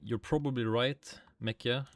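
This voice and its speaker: A man's voice: medium pitch, steady tone, takes it slowly. A man from Sweden with a Swedish accent, chatting casually on a game livestream, muttering to himself, swearing lightly and answering chat. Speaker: streamer. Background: faint music and keyboard typing.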